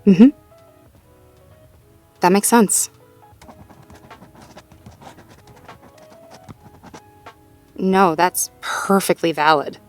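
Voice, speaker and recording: Supportive, playful girlfriend, played by a woman, lower-pitched voice. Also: slow, faint music behind. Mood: encouraging. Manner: gentle, encouraging, lightly teasing, natural hesitations and chuckles. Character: supportive, playful girlfriend helping partner problem-solve. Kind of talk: intimate roleplay audio, one-sided conversation.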